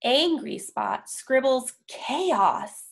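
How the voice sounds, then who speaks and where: A young adult woman reading a story aloud for young children, enunciating deliberately with pauses between words. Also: high-pitched, animated, creaky. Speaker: children's picture book reader. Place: indoor room, on a video call.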